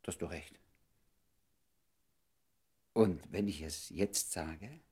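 A man's voice: low-pitched, slow pace, a little mellow, breathy edge, heavily acted. An actor playing an ardent, devoted man wooing an old love in an intimate German radio drama, urgent and often murmuring. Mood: earnest, tender.

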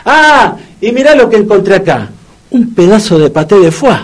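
Lively-pitched, gloomy Argentine bloke. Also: male voice, moderate pace. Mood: brighter, casual. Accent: Argentine Rioplatense. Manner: colloquial, lamenting, repeats words. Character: gloomy, soft-hearted dog owner, an ordinary bloke. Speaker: radio comedy actor. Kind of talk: comic radio drama acting.

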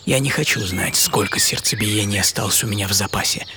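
A man's voice: fairly low pitch, brisk, background noise, natural voice. A man performing a theatrical, intimate first-person radio monologue as a famous American astronaut looking back on his life. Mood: hushed, reflective. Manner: theatrical, intimate, swinging between eager and hushed. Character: famous American astronaut recalling life from boyhood to quiet old age. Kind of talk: dramatic first-person monologue, audio play. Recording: studio voice over music bed.

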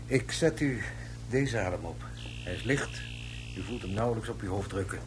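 Actor as composed clinical scientist, faint hum behind. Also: male voice, on the low side, moderate pace, lightly acted. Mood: calm, reassuring. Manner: clinical, politely condescending. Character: composed, courteous male scientist interrogating with brain-scanning apparatus. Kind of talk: radio drama acting.

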